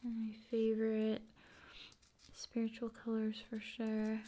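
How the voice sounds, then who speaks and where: Slow, whispery woman doing soft ASMR. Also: on the high side, monotone. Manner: unhurried, soothing delivery with drawn-out phrases. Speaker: ASMR video creator.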